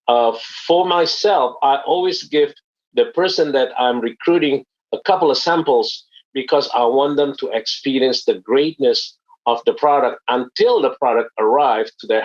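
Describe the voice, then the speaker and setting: Earnest man giving a muffled webinar talk. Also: mid-range voice. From Indonesia. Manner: earnest, explanatory, with frequent fillers. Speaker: network marketing presenter.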